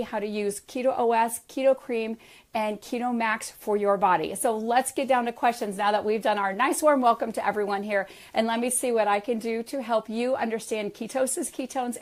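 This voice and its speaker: A hoarse, raspy middle-aged woman, a wellness practitioner from the United States, hosting a live question-and-answer stream in an upbeat, enthusiastic way on a headset mic. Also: fairly high pitch. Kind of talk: live social media talk.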